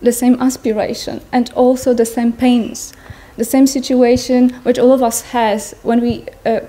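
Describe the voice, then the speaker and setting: A woman in her thirties with a Polish accent, giving an earnest personal talk to an audience in a large tent, pausing to search for words. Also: fairly high pitch, level tone, mellow. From Poland. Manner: earnest, searching for words, non-native phrasing. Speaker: speaker at a Buddhist gathering. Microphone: stand mics in front of her.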